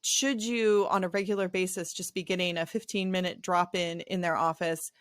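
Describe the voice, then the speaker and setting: A middle-aged woman hosting an interview podcast, telling stories in a chatty, animated way with playful asides. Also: fairly low pitch, moderate pace, no echo, clean studio sound. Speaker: podcast host. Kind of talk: conversational interview.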